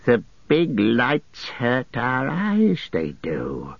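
A pitiful, half-mad creature whines and shrieks, leaping wildly between high and low. The voice is breathy and drawn out. It sits in a dull, dry recording. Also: male voice, wildly swooping pitch, drawn-out words, dry recording, exaggerated voice. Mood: whining. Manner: whimpering, shrieking, hissing. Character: wretched creature, split-minded, whining and hissing.

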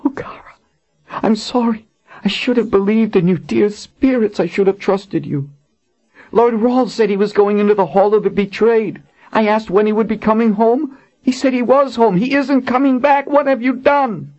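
A man's voice: high voice, fairly even intonation, normal speed, darkish tone, pitched above the performer's own voice, lightly acted. A man voicing a grieving young queen who speaks in an anguished, pleading way. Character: grieving young queen, desperate then resolute. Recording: dull lo-fi audiobook recording.

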